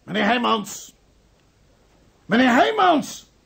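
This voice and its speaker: An irascible old notary in a radio play. His voice is very high for a man, heavily creaky and rough, and he blusters imperiously at everyone beneath him. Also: big pitch swings, slow, fairly bright tone, full character voice. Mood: impatient, scolding. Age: older. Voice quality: gravelly, raspy. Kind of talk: Dutch radio drama acting.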